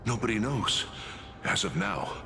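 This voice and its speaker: A man's voice: fairly low pitch, a little slow, in a large hall, faint music behind. A man playing a courteous, weary and earnest military commander in a video game, formal but hesitant. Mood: grave, weary. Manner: formal, hesitant, sighing, expressive.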